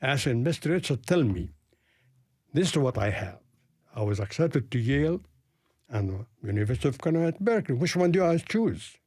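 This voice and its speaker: A man in his eighties with an Arabic accent, from Palestine and raised in Lebanon, recounting his life in an interview in a deliberate storytelling manner. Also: medium pitch, big pitch swings, darkish tone, no echo, clean studio sound. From Palestine, grew up in Lebanon. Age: 80s.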